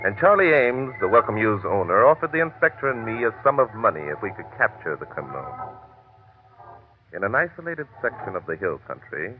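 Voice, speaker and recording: Low, expressive detective narrator. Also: male voice, fairly quick. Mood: scene-setting. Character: composed detective narrating his own case. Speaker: narrator, detective telling his case. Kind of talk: old-time radio mystery narration. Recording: tinny, narrow old radio recording. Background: music.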